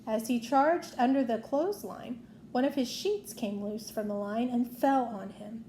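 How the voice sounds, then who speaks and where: Slow, mellow woman reading a children's story. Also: fairly high pitch. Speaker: storybook reader. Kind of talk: read-aloud children's story narration. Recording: roomy echo, faint background noise.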